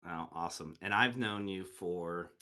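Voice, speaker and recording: A man's voice: low voice, unhurried, pushed and bright, smooth. A man hosting a podcast, chatting casually and rambling with many fillers and restarts. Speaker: podcast host. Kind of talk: casual interview chat.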